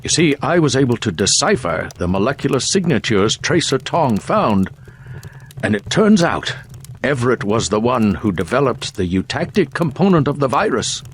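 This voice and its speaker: A man's voice: medium pitch, very animated, normal speed, close to an everyday voice. Casting: man playing sickly paranoid conspirator for a video game, formal delivery, varied intonation, slight noise behind. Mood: grave, informative. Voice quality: prone to coughing. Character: ailing, paranoid conspirator, a weary grown man.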